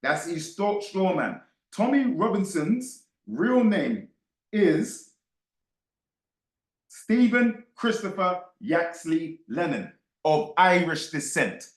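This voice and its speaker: A man's voice: high-pitched, sing-song, takes it slowly. A man giving impassioned off-the-cuff commentary to his followers, emphatic, repeating phrases and throwing rhetorical questions at listeners. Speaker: book club host. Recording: roomy, echoey sound.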